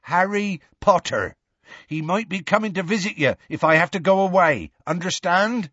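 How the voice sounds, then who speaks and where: A man voicing a gruff, big-hearted half-giant gamekeeper who shouts up and coaxes. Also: high-pitched, expressive, normal speed, dry recording, lo-fi sound, voice pushed up, heavily acted. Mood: coaxing. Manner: shouting up, coaxing and scolding.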